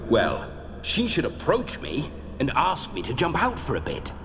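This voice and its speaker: A leering, boastful aristocratic ghost in a fantasy game, drenched in long reverb. The florid lines swoop widely and unfold slowly over music. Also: male voice, medium pitch, huge pitch swings. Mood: sly, leering. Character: roguish male nobleman ghost, lecherous and boastful. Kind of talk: video game acting.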